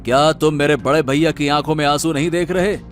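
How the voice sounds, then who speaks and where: A man's voice: fairly high pitch, steady tone, fairly quick, faint music behind, lightly acted. A man playing a kind, trusting younger brother who speaks earnestly and respectfully in a cartoon story. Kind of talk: Hindi cartoon moral story acting.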